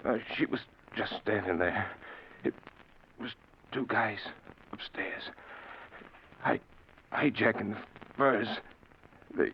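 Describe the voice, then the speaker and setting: Dying, faltering speaker, very slow. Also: male voice, on the low side. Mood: weak, fading. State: weak, faltering, gasping. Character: gunshot, dying man gasping out his last words. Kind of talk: 1940s radio drama acting. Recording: muffled, noisy 1940s radio recording.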